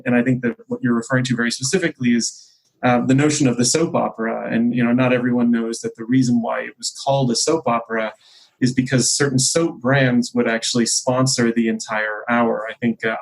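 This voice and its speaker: Man, medium-pitched voice, expert podcast guest, conversational, discursive, measured explanations. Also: poor audio. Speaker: company executive, podcast guest.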